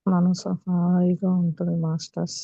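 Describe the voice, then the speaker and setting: A woman with a Telugu accent leading a guided meditation as a teacher, calm and unhurried, with long pauses. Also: fairly low pitch, vocal fry. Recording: muffled, echoey room recording.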